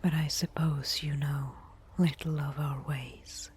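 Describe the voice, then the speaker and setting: A woman voicing a proud, disdainful desert tribeswoman who nurses reluctantly, in a breathy whispered ASMR roleplay with formal phrasing. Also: sits low, steady tone, darkish tone, full character voice. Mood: stern, admonishing. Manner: slow, measured, formal phrasing.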